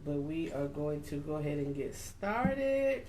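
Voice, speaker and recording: A woman's voice: low voice, sing-song, a little slow, dark tone, a little hiss or hum. Woman, forties, chatty, laughing, casual, echoey. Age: 40s. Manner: chatty, laughs often, greets viewers. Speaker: co-host. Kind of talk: casual livestream talk. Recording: echoey room.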